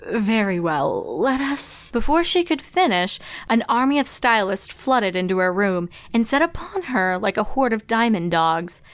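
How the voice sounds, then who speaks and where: High-voiced woman narrating a story. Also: sing-song, moderate pace, hardly any pauses, smooth. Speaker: fan fiction reader and narrator.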